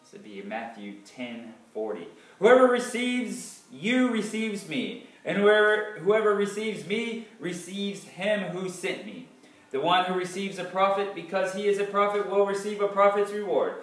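Male Bible reader, highish voice, declamatory with deliberate pauses, recorded in a very echoey room. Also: lively intonation, fairly bright tone. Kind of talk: dramatic scripture reading aloud. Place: small, sparsely furnished living room indoors. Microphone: distant camera microphone. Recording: echoey room, far from the camera mic.

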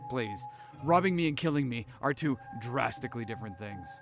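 An actor playing a menacing, smug billionaire CEO in an audio drama, taunting and condescending. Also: male voice, mid-range voice, lively intonation, brisk, close to an everyday voice. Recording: music bed behind dialogue.

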